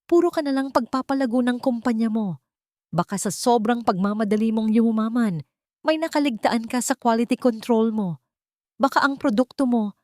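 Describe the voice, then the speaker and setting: A woman voicing an angry, bitter husband who accuses forcefully in a dramatized story. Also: on the high side, no room sound, clean studio sound, voice pushed up, lightly acted. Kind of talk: audio drama storytelling in Tagalog.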